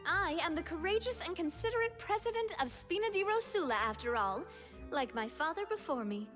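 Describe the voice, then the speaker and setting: A woman's voice: extremely high pitch, animated, brisk, a bit bright. A woman voicing a confident, caring young female leader in a video game, assured and reassuring. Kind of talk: video game cutscene dialogue. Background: faint game music.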